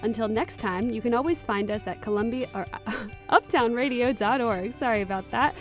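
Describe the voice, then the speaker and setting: A woman with a fairly high voice co-hosts a radio news show, reading in a friendly, upbeat manner. Faint music plays under her. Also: moderate pace. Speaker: co-host.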